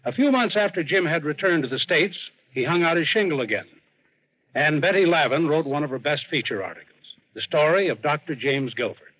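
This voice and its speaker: Genial storyteller, muffled old radio. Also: male voice, fairly high pitch, lively intonation, a little fast. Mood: genial, reflective. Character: genial male adventurer telling his tale, reflective. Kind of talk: 1940s radio narration. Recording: muffled, faintly noisy vintage radio recording.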